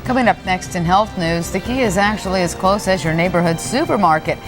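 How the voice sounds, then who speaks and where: An American woman anchors a TV health segment in a scripted read. Her voice is on the low side, with faint music underneath. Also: brisk, rough recording.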